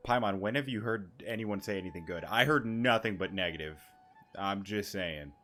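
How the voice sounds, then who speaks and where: A man's voice: lowish voice, moderate pace, bright, forceful tone. A man giving casual, rambling gameplay commentary as a streamer, repeating words and swearing casually. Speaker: gaming streamer. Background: game music.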